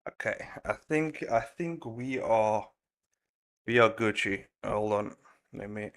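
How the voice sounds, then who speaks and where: A man's voice: lowish voice, slow pace, fairly bright tone. A man talking casually to himself and viewers as a livestream host, in a slangy, muttering way. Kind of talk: casual livestream chat while setting up.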